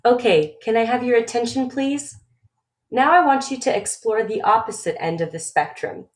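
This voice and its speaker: A woman's voice: a little high, lively intonation, moderate pace. Woman in her 20s, grew up in the us, romanian first language, reading out a webinar talk pretty formally. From United States. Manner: formal, read-aloud delivery. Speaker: webinar presenter. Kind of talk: scripted presentation.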